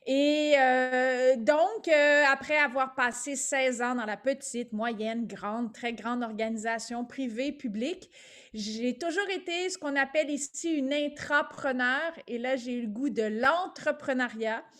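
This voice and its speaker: Québécois woman, middle-aged, high voice, lively webinar guest. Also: unhurried. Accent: Québécois French. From Quebec, Canada. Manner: lively and talkative, laughing often, with frequent fillers. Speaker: guest speaker, entrepreneur. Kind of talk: webinar presentation. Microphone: video call.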